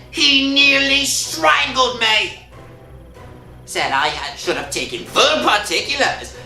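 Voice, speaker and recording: A man playing a gruff, suspicious, long-winded police constable in a 1960s radio drama. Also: high voice, pushed and bright, heavily acted. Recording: old radio recording, echoey.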